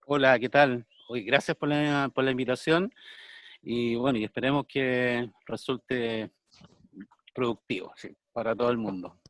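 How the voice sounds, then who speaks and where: A man's voice: mid-range voice, steady tone, a little slow, dry recording. A man in his fifties with a Chilean accent, speaking as a guest artist on a video call in a thoughtful, hesitant way with many fillers. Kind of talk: conversational interview, reflective.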